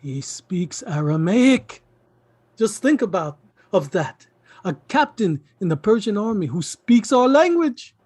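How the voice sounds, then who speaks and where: Guy acting a pushy pleading middle-aged uncle in a stage play, super animated, repeats himself, dry sound. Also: sits high, unhurried, breathy edge, no room sound, full character voice. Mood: coaxing. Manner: emphatic, repetitive, pleading. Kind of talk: theatrical stage play reading.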